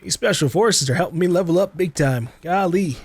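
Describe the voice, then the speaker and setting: Higher-pitched man doing casual game commentary. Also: fairly quick, background noise. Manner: rambling, thinking aloud, playful. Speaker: gaming video host. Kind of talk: casual let's play commentary. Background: faint game sounds.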